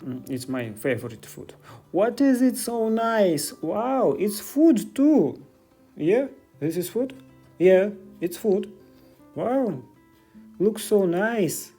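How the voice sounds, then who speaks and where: Russian guy in his 30s rambling slow thru shops on his phone, light guitar music behind. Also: sits high, big pitch swings, mellow, breathy edge. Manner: curious, chatty, broken English. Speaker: vlogger. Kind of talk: casual walking vlog. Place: stores in the city.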